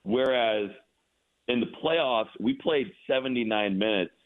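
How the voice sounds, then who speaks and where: A man giving casual sports commentary as a radio guest, halting and repeating words for emphasis over a call. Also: medium pitch. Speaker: radio play-by-play announcer, guest. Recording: thin, phone-like narrow-band line.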